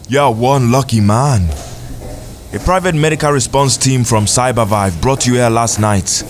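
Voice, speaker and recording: Unhurried male actor as smug sci-fi doctor, stern and wry, mid-range voice, for audio drama. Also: mid-range voice, slight background noise, lightly acted. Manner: condescending, wry, deliberate. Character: smug, stern futuristic male doctor lecturing his patient. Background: music.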